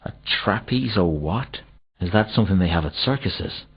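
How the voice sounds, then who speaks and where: Low, husky, shifty watchman. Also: animated, normal speed, full character voice. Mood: dim, curious. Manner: hesitant, evasive, whiny excuse-making. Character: shifty, dim, cowardly, petty male city watchman. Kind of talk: comic video game voice acting. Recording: dull, muffled old console game audio.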